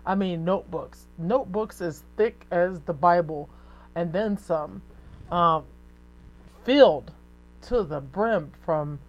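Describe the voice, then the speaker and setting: Deep-voiced woman podcaster talking frankly. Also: highs cut off. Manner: frank and conversational, with profanity and rhetorical asides. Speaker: podcast host. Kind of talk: casual solo podcast monologue.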